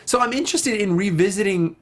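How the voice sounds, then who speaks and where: A man's voice: high-pitched, expressive, fast. A man hosting a talk show, chatting casually and emphatically about a video game with plenty of enthusiasm.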